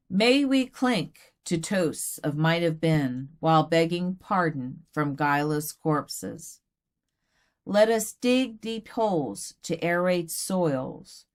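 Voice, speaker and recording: A woman plays an earnest doll poet at a reading. She recites very slowly in a lowish voice, deliberate and measured with each line. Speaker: poet reading own work. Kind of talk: poetry recitation.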